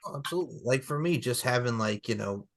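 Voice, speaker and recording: Fast-talking man in his thirties. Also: medium pitch. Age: 30s. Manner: animated, repeats filler phrases. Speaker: podcast co-host. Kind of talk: casual chat.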